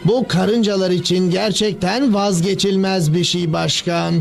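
An eager cartoon professor explains things with cocksure confidence. His voice is high and gravelly, over faint music. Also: steady tone, unhurried. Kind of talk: Turkish cartoon dub acting.

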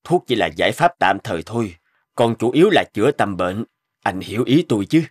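Low, calm cartoon doctor. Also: male voice, fairly quick, husky. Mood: serious, advising. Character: calm, authoritative older male doctor, advising firmly. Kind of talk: cartoon drama acting.

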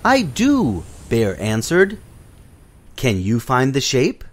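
A man narrating a children's storybook app, reading the story and gently prompting a child to tap and find shapes. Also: mid-range voice, wildly swooping pitch. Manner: slow, sing-song, coaxing prompts to a child. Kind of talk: children's read-along narration.

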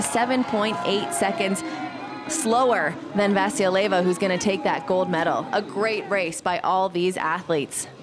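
Woman narrating a race recap over music. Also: medium pitch. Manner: brisk play-by-play narration. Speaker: sports commentator.

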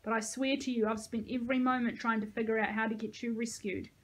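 A woman voicing a tough, motherly grey-haired army reservist with a gravelly voice and folksy manner, in an audiobook. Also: fairly high pitch, level tone, quick pace, lightly acted. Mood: tender, apologetic. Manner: bellowing orders, folksy endearments.

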